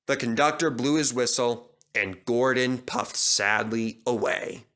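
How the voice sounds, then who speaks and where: A man's voice: mid-range voice, measured pace, a bit bright. A man reading a children's story as narrator, in a scripted storytelling style. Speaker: narrator, reader.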